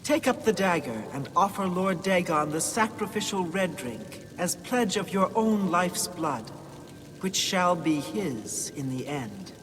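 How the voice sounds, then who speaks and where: Fantasy game cultist: fervent, ritual delivery, high register, long reverb and faint music. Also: male voice, very animated, unhurried, fairly bright tone, slight background noise, exaggerated voice. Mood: solemn, menacing. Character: fervent male cultist presiding over a blood rite. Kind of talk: video game character acting.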